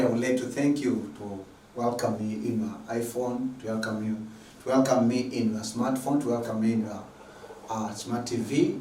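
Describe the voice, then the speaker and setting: Tanzanian-accented male lecturer, earnest and halting, mid-pitched voice, recorded from a distance in an echoey room. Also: a little mellow. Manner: repetitive, earnest, halting with many fillers. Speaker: online math lecturer. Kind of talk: lecture introduction. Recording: distant mic in an echoey room with faint noise.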